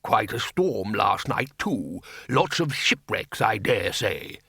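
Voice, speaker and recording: A gloomy old wizard lecturer, as a man puts him on, musing slowly with a pitch that rises and falls. The voice sounds bright and close. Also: big pitch swings, vocal fry, breathy edge, no room sound, exaggerated voice.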